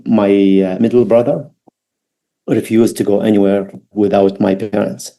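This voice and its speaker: Mellow middle-aged man with an Iraqi accent. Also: lowish voice, steady tone, creaky. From Baghdad, Iraq. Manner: halting, reflective, non-native English phrasing. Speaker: podcast guest. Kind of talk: personal storytelling interview.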